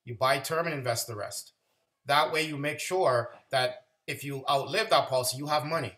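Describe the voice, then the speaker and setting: A man's voice: medium pitch, normal speed, a bit bright. A middle-aged man, a financial advisor, explaining a plan persuasively on a video call from a home office. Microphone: video call webcam.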